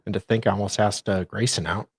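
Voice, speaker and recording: A co-host mixing dramatic reading of documents with casual chat in a deliberate, wry way. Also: deep voice, steady tone, slightly rough, no room sound, studio quality.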